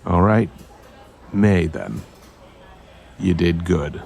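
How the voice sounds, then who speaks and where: A grim investigator in an audio drama, with a very low voice and slow, deliberate delivery. He is terse and protective. Some noise sits behind him. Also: lively intonation, vocal fry, darkish tone, full character voice. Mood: awkward, gentle.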